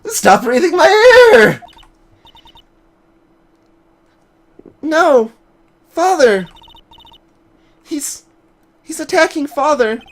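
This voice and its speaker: Woman playing a terrified child, loud and high. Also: very animated, slow, heavily acted. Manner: desperate, loud cries.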